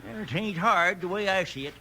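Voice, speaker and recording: Sly old western codger, high lively voice, folksy and teasing, noisy old radio recording. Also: male voice, a little fast, full character voice. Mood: needling, bossy. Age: older. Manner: folksy, sly rustic speech. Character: crafty, teasing old-timer, frontier volunteer fireman. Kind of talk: 1950s radio western acting.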